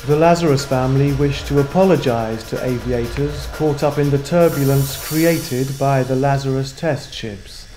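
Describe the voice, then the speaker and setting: Mellow, officious male corporate spokesman, played by an actor. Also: medium pitch, soft background music, close to an everyday voice. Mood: cold, official. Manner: formal, measured, officious. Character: corporate spokesman, cold, formal, unapologetic propaganda announcer. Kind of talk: scripted video message in a sci-fi game.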